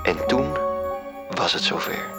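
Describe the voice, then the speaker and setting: Measured, wistful male angel narrator, played by an actor. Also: lightly acted. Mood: storytelling. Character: wistful angel recounting the tale to his superior. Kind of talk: radio drama narration. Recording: music under the voice.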